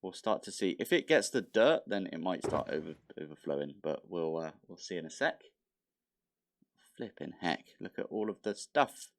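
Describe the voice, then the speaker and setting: A man's voice: low-pitched, animated, moderate pace. A man casually commentating his modded block-building game, thinking aloud with mild exasperation, his nose slightly blocked. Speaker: modded Minecraft let's play host.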